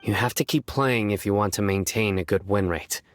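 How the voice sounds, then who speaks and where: A man voicing a serious, stoic young lawman in a video game, earnest and deadpan with a measured way of talking. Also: on the low side, natural voice. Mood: calm, serious. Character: serious, stoic young male lawman who earnestly tells bad jokes. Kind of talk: video game character voice acting. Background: music.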